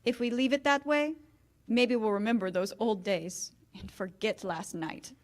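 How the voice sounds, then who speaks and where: Female actor as proud married heroine, wounded, earnest, restrained, fairly high voice, vintage radio play. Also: a little slow, lightly acted. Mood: wistful, resolute. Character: proud, wounded married woman, devoted and resolute. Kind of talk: 1940s-style radio theater acting.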